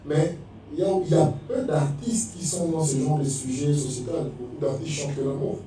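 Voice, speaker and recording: Guy chatting on a panel, really mellow dark voice, mic far off. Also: a little high, fairly quick, a little hiss or hum. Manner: conversational, checks listener follows. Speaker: panel speaker. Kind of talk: informal panel discussion. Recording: very echoey hall, distant mic, faint music.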